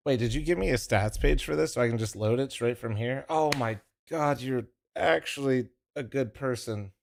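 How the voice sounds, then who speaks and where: A young man talks to his stream chat with a profane, teasing ramble, and his voice sits at an ordinary pitch. He's casual and loose, stopping and restarting as he fiddles with his setup. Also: normal speed, creaky. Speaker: streamer co-streaming an esports match.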